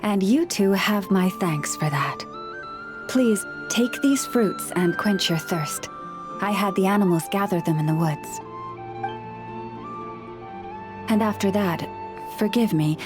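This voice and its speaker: A woman voicing a calm, courteous librarian. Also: mid-range voice, a little slow, close to an everyday voice. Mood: gracious. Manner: calm, polite, softly formal. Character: gentle, courteous woman librarian and relic keeper who talks to animals. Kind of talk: video game voice acting. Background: game background music.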